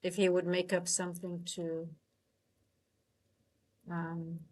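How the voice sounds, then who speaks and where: A woman's voice: on the low side, monotone, slow, a little mellow. Woman thinking aloud on a livestream. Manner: thinking aloud, tentative, trailing off mid-sentence. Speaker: livestream host, futures trader and coach. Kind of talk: casual live trading commentary with chat interaction.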